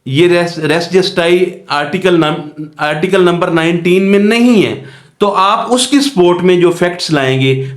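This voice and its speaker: A man with a Pakistani accent teaching law in an explanatory lecture, posing questions and answering them himself in a didactic way. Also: on the high side. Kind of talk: explanatory lecture with worked examples. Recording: echoey room.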